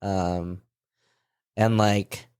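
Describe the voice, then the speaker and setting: Low-voiced man in easygoing chat. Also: very slow, clear, resonant tone, dry recording, clean studio sound. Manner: relaxed, laughs often, trails off with fillers. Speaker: podcast co-host. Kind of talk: casual chat.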